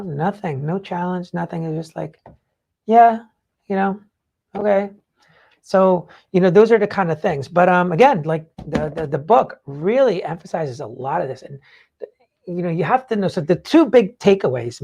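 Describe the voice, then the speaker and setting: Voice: mid-range voice, animated, moderate pace, vocal fry, darkish tone, husky. A podcast host talking casually and emphatically in a rambling way, swearing freely while explaining ideas. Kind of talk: casual talk explaining book takeaways.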